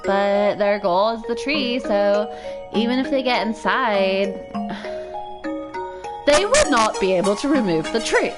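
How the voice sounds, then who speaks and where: A woman's voice: medium pitch, animated, measured pace, pauses often, over loud music, lightly acted. A woman voicing a haughty, pompous young male aristocrat student with formal, indignant diction in a visual novel. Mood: formal, commanding.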